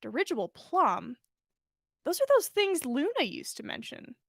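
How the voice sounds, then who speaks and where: Give me a woman doing a high, teasing young woman. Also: wildly swooping pitch, measured pace, gravelly, fairly bright tone, dry recording, clean studio sound, exaggerated voice. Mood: amused, curious. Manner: teasing, informal. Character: brisk, teasing, amused young woman friend.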